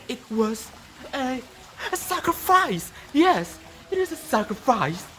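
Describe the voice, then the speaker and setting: A teenage student from Indonesia with an Indonesian accent tells a folktale dramatically, with deliberate, emphatic pauses. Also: high voice, sing-song, pushed and bright, a little hiss or hum. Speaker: student storyteller. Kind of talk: dramatic oral storytelling for a competition.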